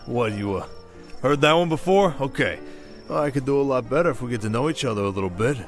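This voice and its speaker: An actor playing a pushy, smooth-talking cowboy barfly with an American Western drawl, leering and folksy, in an ASMR roleplay. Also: male voice, mid-range voice, animated, fast talker, soft background music, natural voice. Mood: flirtatious. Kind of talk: ASMR roleplay acting, Old West.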